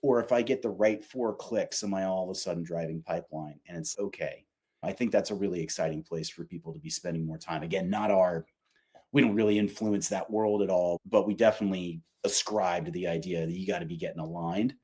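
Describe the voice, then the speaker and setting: This is a man with a low-pitched, very mellow voice. He talks as an executive guest in a casual interview, putting his opinions in colloquial, emphatic terms. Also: steady tone, fairly quick, husky. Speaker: company revenue executive, podcast guest.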